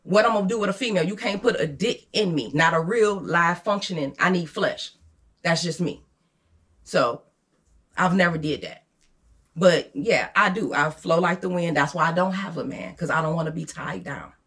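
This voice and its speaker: A woman's voice: fairly low pitch, moderate pace, fairly bright tone. A woman in her fifties chatting casually and candidly, with a blunt, unapologetic and emphatic way of talking. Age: 50s.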